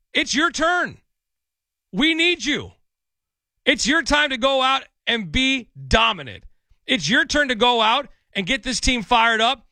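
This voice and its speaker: A man hosting a sports call-in radio show, energetic and hoarse from losing his voice. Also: extremely high pitch, no room sound, studio quality. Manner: energetic, pep-talk delivery.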